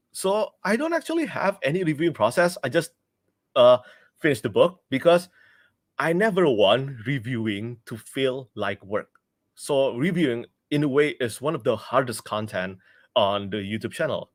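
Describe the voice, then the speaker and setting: A man's voice: mid-range voice, animated, normal speed, dry recording. Chatty Indonesian man, close dry sound. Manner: chatty, laughs often, restarts mid-sentence. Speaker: book reviewer hosting a livestream. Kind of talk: casual livestream Q&A.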